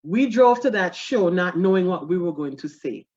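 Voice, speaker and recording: A middle-aged woman, a stand-up comedian telling stories in a casual interview, animated and quick to laugh. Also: fairly low pitch. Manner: animated, laughs often, self-deprecating. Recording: dull lo-fi recording.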